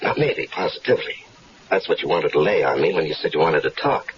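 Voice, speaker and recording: A wary, streetwise man in a 1970s radio play, wry and skeptical. His voice is very deep but lively in pitch. The recording is dull with a faint hiss. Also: a little fast. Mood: guarded. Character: wary, streetwise man lured into a murder plot. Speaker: radio drama actor. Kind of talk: 1970s radio drama acting.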